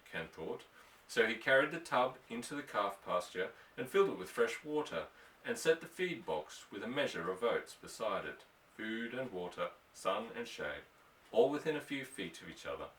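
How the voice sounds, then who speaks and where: A man's voice: mid-range voice, big pitch swings, normal speed, a bit bright. A man reading a story aloud as an audiobook narrator, in a scripted narration style. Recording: echoey room, distant microphone.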